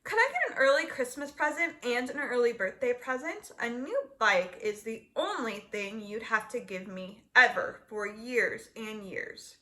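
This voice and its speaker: An eager, literal-minded little girl played by a woman reader in a storybook. Her voice is high and bright, full of exclamations and persistent questions. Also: animated, unhurried, few pauses. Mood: hopeful, curious. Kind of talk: children's storybook read-aloud.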